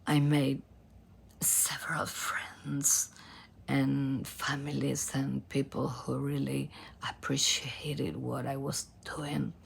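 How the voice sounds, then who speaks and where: A woman in her forties from Argentina, with an Argentine Spanish accent, telling her own story in English in a strained voice that keeps breaking into whispers, halting and full of fillers. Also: a bass voice, flat delivery, vocal fry, a little hiss or hum. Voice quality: broken, strained voice dropping into whispers. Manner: halting, many fillers and restarts. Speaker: former singer. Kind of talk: personal storytelling to camera.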